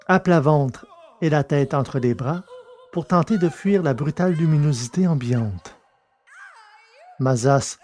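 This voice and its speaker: A man reading a science fiction story aloud in a steady, measured narration. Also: medium pitch. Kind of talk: scripted audiobook narration.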